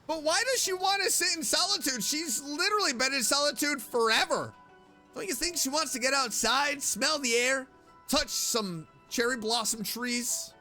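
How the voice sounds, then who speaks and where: A woman's voice: high-pitched, normal speed, bright, forceful tone, soft background music. A woman doing casual, chatty let's-play commentary as a game streamer, reacting to what is on screen.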